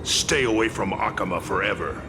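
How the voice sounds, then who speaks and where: Low-voiced commanding guard. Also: male voice, moderate pace, vocal fry. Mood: threatening. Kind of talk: dramatic video game acting. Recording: music behind the voice.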